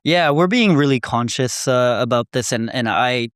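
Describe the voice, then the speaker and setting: A young man from Korea who grew up in Canada, speaking as a guest founder in a relaxed interview. His voice is smooth and clear at a middle pitch. He talks conversationally with plenty of fillers, recorded dry and close. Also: no echo. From South Korea, raised in Canada. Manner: quick, conversational, frequent "like" and laughs. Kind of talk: casual podcast interview.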